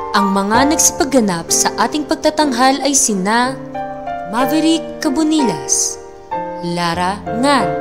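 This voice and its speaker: A woman narrates the close of a radio drama in a deliberate announcer style. Her voice is fairly high and very expressive, unhurried, with loud piano music behind it. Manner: deliberate, presentational. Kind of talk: scripted radio closing narration with credits.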